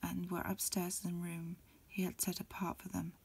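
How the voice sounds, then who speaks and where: A woman whispers her way through a storybook in an ASMR style. Her voice is extremely breathy and on the low side. She reads softly and evenly in short, unhurried phrases. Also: flat delivery. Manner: soft, unhurried, phrase-by-phrase reading. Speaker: amateur reader. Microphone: lo-fi home recording.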